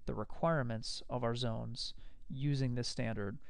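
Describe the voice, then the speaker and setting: A man giving a measured, explanatory recorded lecture over slides. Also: mid-range voice, steady tone, creaky, no room sound, studio quality.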